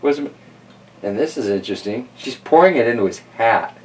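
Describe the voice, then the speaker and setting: A man with a mid-range voice and very lively intonation. He reads a storybook in a chatty, informal style, sounding a bit away from the mic. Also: mid-range voice, normal speed, recorded from a distance. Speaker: storybook reader and host. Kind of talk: storybook narration with casual asides.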